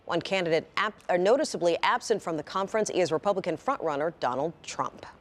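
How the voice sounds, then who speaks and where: A woman's voice: on the low side, animated, brisk. A woman with an American accent anchoring TV news, reading stories and putting questions to a guest.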